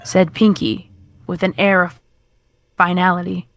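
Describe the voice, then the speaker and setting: A woman's voice: on the low side, flat delivery, unhurried, background noise. A woman narrator reading a scripted horror story.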